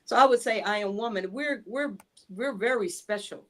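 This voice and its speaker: A woman's voice: mid-range voice, moderate pace. A woman from Illinois, a guest on a talk show, speaking earnestly in casual chat and often restarting her sentences.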